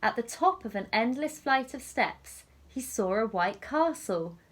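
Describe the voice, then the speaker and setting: Slow, high-voiced woman reading a storybook. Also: animated, a bit bright. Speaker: primary school teacher reading a story to children. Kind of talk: storybook read-aloud for young children.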